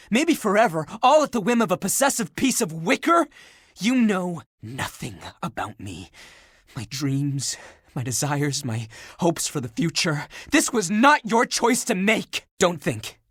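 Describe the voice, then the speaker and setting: Sassy, animated living garment guy. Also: sits high, a little slow, breathy edge, no echo, studio quality, heavily acted. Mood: hurt, angry. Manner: sardonic, teasing, expressive. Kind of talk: video game character dialogue.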